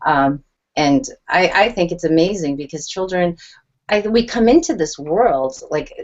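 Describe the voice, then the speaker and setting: A woman's voice: fairly low pitch, lively intonation, a little slow, a little mellow. A woman speaking earnestly on a video call panel, often restarting her sentences as she searches for words. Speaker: guest speaker on EFT tapping. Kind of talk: casual online panel chat. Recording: dull lo-fi video call audio.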